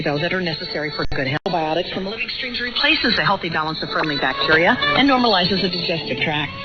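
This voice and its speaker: A woman announcer delivers scripted radio ad copy at a middling pitch. Loud music plays behind her, and the shortwave broadcast sound is dull and lo-fi. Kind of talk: scripted promotional narration.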